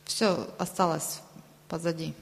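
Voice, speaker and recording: A woman interpreting a sermon aloud, delivering it phrase by phrase in a halting way. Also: low voice, steady tone, gravelly. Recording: long reverberant hall sound.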